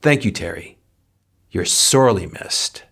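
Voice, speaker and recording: A man's voice: low voice, animated, measured pace, vocal fry, fairly bright tone, breathy edge. A man reading memorial tributes aloud as a podcast co-host, in an earnest, solemn manner.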